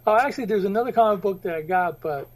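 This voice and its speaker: Guy with a pretty high voice, casual comics podcast chat, says you know a lot. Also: quick pace. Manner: chatty, asks questions, many fillers like "you know". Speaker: podcast co-host.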